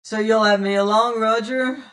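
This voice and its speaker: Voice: mid-range voice, fairly even intonation, normal speed, fairly bright tone, pitched above the performer's own voice, natural voice. Reader doing a groaning wounded pirate. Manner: groaning, cursing. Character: wounded grumbling male pirate.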